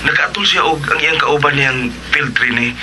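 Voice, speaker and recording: A woman's voice: sits low, normal speed, bright, forceful tone, a little hiss or hum, low-quality recording. A woman in a radio drama playing a worried, apologetic friend who is seeking help.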